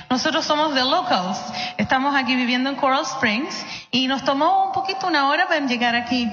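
A woman's voice: high voice, bright, forceful tone, a little hiss or hum. A woman greeting and exhorting a church congregation with earnest enthusiasm. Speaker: singer in a gospel vocal group. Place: church hall, amplified to a congregation. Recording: echoey hall, slightly muffled.

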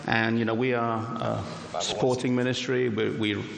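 A pastor from England, with an English accent mixed with American, answering interview questions in a measured, modest way. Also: male voice, on the low side, steady tone, vocal fry, a crowd in the background. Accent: English with American mix. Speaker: pastor and ministry director. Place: large hall before a live audience. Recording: echoey hall with long reverb.

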